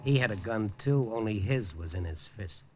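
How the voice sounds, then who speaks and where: A man's voice: on the low side, animated, moderate pace, loud music behind the voice, close to an everyday voice. An actor playing a hard-boiled male newspaper reporter, narrating dryly and tersely with wisecracks in a 1950s radio drama. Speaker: lead narrator. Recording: dull 1950s radio broadcast.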